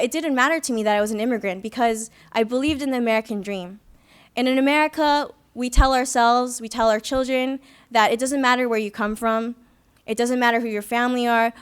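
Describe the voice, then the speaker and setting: Young woman speaker, smooth, higher voice; earnest first-person testimony with touches of wry humor. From Philippines. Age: young adult. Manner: earnest, reflective storytelling with light self-deprecating humor. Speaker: student activist speaker. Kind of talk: personal testimony speech at a conference. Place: conference hall.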